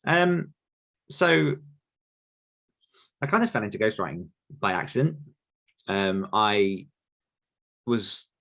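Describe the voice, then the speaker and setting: A man's voice: lowish voice, fairly bright tone. A man with an English accent answering listener questions in a casual, rambling podcast chat, with frequent fillers and restarts.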